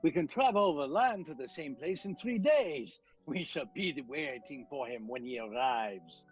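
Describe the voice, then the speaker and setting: An actor in a radio play voicing a grave, commanding professor who hunts vampires, speaking deliberately and urgently. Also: male voice, a little high, expressive, breathy edge, lo-fi sound, soft background music. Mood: grave resolve.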